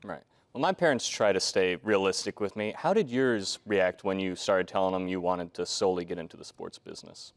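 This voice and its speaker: A young man with a low voice hosts an interview. He asks formal, prepared questions earnestly, with a slightly nervous edge. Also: brisk. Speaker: host, student interviewer.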